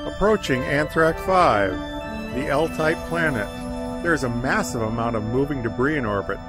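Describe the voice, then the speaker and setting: A man's voice: medium pitch, lively intonation, normal speed, natural voice. A man acting as an alert, dutiful starship helm officer, reporting formally in a science fiction audio drama. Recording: prominent music underneath.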